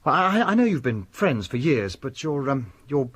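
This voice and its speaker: An actor playing an earnest, anxious gentleman nephew in a radio drama, polite but urgent and troubled. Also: mid-range voice, sing-song, moderate pace, husky. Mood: anxious, firm.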